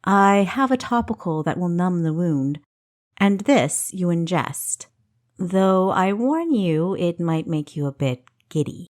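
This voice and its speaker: A woman voicing a stern female doctor in a fantasy audiobook, brisk and blunt with a dry wit. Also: fairly low pitch, lively intonation, darkish tone. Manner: economical, blunt.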